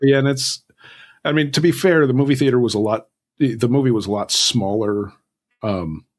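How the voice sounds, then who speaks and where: A man's voice: medium pitch, moderate pace. A man talking casually as an interview guest, telling stories in a self-deprecating way with acted-out asides. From Southern California. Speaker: guest author.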